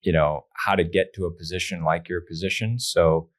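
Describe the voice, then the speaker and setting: A man's voice: sits low, steady tone, normal speed. A man hosting a podcast interview who introduces his guest and asks questions in an upbeat, casual way. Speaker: podcast host.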